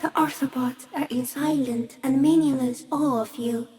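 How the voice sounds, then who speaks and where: Eerie, high-voiced ancient stick insect. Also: female voice, steady tone, normal speed, mellow, faint music behind. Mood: solemn, awed. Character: ancient shy giant stick insect, gentle, awed, solemn. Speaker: video game creature voice. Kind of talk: slow poetic game monologue.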